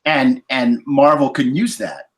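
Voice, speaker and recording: A man's voice: medium pitch, unhurried, gravelly. A man chatting casually on a podcast panel, telling stories with many asides. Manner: animated storyteller, many asides. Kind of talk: casual anecdotal chat.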